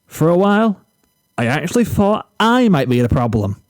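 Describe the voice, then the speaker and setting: A man's voice: high voice, very animated, moderate pace, no echo, voice pushed up. A man voicing a cocky, oblivious teenage boy, whiny and smug, in a story reading. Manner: smug, complaining.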